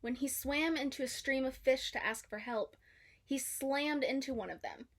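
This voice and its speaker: A woman with a fairly high voice reads a children's story aloud. Her delivery is scripted storybook narration. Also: moderate pace. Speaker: storybook reader.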